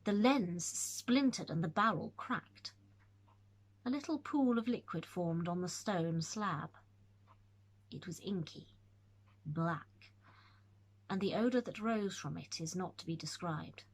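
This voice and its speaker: Husky woman reading a ghost story. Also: fairly low pitch. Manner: measured, atmospheric storytelling. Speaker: narrator.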